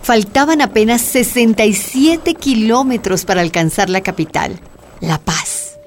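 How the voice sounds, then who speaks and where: A woman's voice: mid-range voice, measured pace. Woman narrating over music. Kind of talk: scripted dramatic narration. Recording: music behind.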